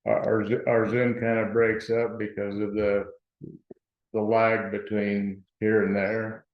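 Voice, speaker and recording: A town board trustee, a soft-spoken man speaking slowly and flatly at a meeting. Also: lowish voice. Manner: soft-spoken, flat, unhurried. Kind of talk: informal meeting talk. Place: meeting room. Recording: echoey, dull room recording.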